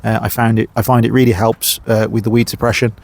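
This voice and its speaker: A man's voice: lowish voice, flat delivery, fast talker, vocal fry, darkish tone. Sniffly man chatting at his allotment. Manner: chatty, lots of uhs and ums. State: sniffly with a cold. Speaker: gardening channel host. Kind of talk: casual how-to vlog. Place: outdoor allotment by a main road.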